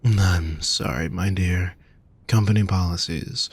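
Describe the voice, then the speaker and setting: A man voicing a gentle, teasing, affectionate demon in an intimate audio roleplay, speaking softly and tenderly to the listener. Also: deep voice, husky, exaggerated voice. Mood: warm, fond. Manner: soft, unhurried, tender teasing.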